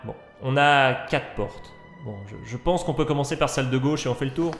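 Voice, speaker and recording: A man acting as a keen urban explorer in an audio drama, curious but cautious. He talks casually and informatively at a medium pitch. His voice rings in an echoey room, with music underneath. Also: fairly quick, natural voice. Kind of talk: French audio drama, naturalistic dialogue. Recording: roomy echo, music underneath.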